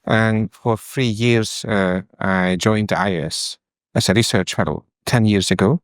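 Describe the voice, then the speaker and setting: A man from Hungary with a Hungarian accent, a professor giving formal, somewhat halting scholarly explanations as a guest. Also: lowish voice, no room sound, clean studio sound. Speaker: history professor, interview guest.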